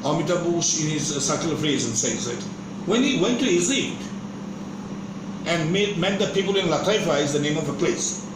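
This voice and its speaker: A man from Bangladesh lecturing a class in a measured, repetitive way, often stopping and restarting his sentences. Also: fairly high pitch, animated, recorded from a distance. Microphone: far room microphone. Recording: echoey classroom from afar, air conditioning noise.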